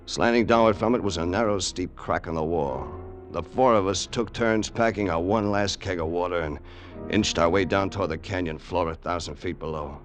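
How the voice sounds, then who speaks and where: Low-voiced outlaw narrating , played by an actor. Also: male voice, moderate pace, soft background music, lightly acted. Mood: grim, measured. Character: male outlaw gang member narrating a desert treasure hunt. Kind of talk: old-time radio suspense acting and narration.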